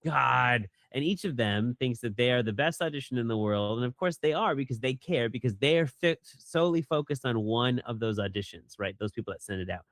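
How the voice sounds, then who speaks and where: A man, a voice actor, chatting casually in an interview and talking animatedly, often jumping into a higher voice when he quotes people. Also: lively intonation, fairly quick, few pauses, fairly bright tone, no room sound. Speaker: voice actor guest.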